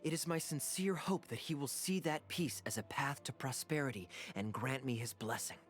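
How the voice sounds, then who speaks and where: Guy doing a smooth cocky young game swordsman, princely and theatrical, music behind. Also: mid-range voice, normal speed, lightly acted. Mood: solemn, noble. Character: suave, teasing young swordsman acting as a noble prince. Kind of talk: video game voice acting. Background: background music.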